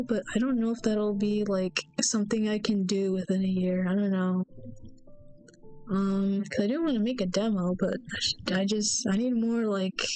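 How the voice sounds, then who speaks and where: Young woman, stuffy-nosed streamer, unhurried rambling chat, mid-height voice, faint music underneath. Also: medium pitch, darkish tone. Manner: rambling, hesitant, many fillers. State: stuffy nose, sore throat. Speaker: solo game developer streaming.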